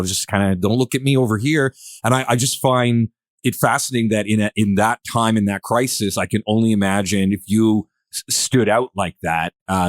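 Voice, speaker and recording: A man's voice: fairly low pitch, dry recording. A man hosting a podcast in casual discussion, rambling and searching for words as he thinks aloud. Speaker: podcast host.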